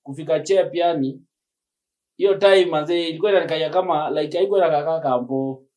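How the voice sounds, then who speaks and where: A Kenyan man with a Kenyan accent hosting a podcast, chatty and quick to prompt and finish his guest's thoughts. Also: on the high side, darkish tone. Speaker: podcast host. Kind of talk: casual interview chat.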